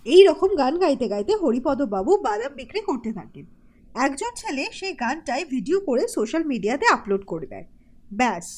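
A woman's voice: high-pitched, a little mellow. A woman narrating a scripted cartoon story.

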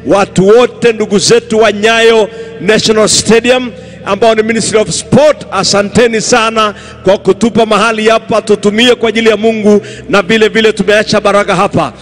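High-voiced Kenyan man on stadium PA. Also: fairly even intonation, fairly quick. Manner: long flowing thank-yous and instructions. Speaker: crusade organizer. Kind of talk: stadium announcements. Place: large outdoor stadium at night. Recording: reverberant stadium PA, faint music.

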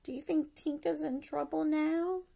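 A middle-aged woman reads a rhyming picture book aloud to young children in an expressive sing-song way, pausing to ask them questions. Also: high voice, gravelly, heavy background noise. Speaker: preschool story-time teacher reading aloud. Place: living room at home, indoors.

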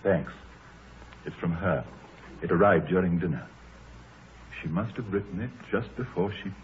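An actor playing a troubled, anxious country gentleman, grave, hesitant and formal, in a 1930s radio drama. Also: low voice, normal speed, natural voice. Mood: fearful, grave. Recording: muffled, noisy 1930s radio recording.

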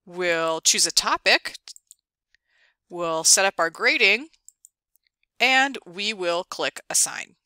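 A woman presenting an instructional screencast, explaining things clearly step by step. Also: fairly low pitch, slow pace, long pauses, pushed and bright, dry recording, clean studio sound. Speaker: ed tech tutorial presenter. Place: dry close voice-over for a screen recording.

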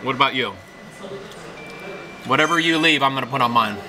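A man in his fifties hosting a casual food vlog, chatty and playful, reacting loudly as he tastes sushi in a small restaurant. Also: medium pitch, brisk, crowd noise. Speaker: food vlogger host. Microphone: handheld camera close up. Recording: noisy restaurant room.

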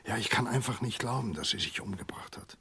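An actor playing a grave, kindly elderly family doctor in a radio play, speaking in a measured, husky voice. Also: male voice, on the low side, expressive, sharp tone, heavily acted. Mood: grave, insistent. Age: older. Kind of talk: German radio play acting.

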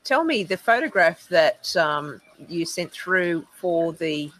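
A woman's voice: fairly low pitch, expressive, slow pace, a bit bright, dry recording. A middle-aged Australian woman hosting a conversational interview over a video call from outdoors on an earphone mic. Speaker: interviewer, host. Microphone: wired earphone headset. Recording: clear background noise, outdoor ambience.

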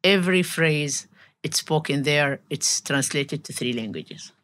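A middle-aged woman whose first language is Arabic, a trainer and translator, answering in a podcast studio and pausing to search for words. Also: deep voice, animated, fairly bright tone. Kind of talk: interview answer. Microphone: studio mic, headphones.

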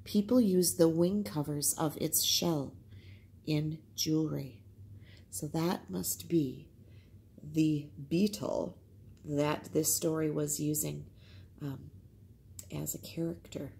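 A woman teacher reads aloud and explains things simply. Her voice is husky and slightly low, and she takes her time over the words. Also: steady tone, takes it slowly, pauses often, dark tone. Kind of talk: storytime lesson, reading aloud with explanations.

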